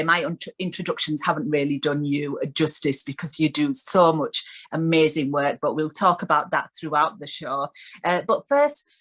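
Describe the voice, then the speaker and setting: Lower-pitched woman hosting radio. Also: fairly bright tone. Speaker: radio host. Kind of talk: radio show introductions and interviewing.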